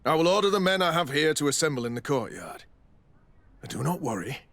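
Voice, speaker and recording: Courteous quartermaster, animated intonation. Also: male voice, a little high, fairly quick. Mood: courteous, dutiful. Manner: polite, formal, businesslike. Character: brisk, courteous military quartermaster, dutiful man. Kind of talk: video game dialogue acting.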